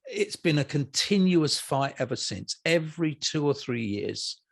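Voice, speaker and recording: An older man speaks as an interview guest, his voice creaky with plenty of fry. He explains things in a reflective, conversational way, taking his time over each thought. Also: a little high, very animated, a little slow.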